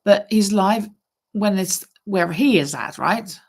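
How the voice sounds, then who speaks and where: A woman's voice: mid-range voice, unhurried, breathy edge. A middle-aged woman hosting a live crafting stream, chatting casually and reading viewer comments aloud, her voice nasal from a stuffy nose. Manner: chatty, reads viewer comments aloud, hums while working. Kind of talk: casual chat while crocheting.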